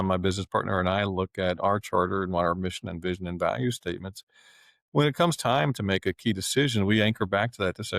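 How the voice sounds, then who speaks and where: A man giving an explanatory business talk as an advisor, speaking in a measured, articulate way. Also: deep voice, creaky, a bit bright, dry recording. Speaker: family office advisor.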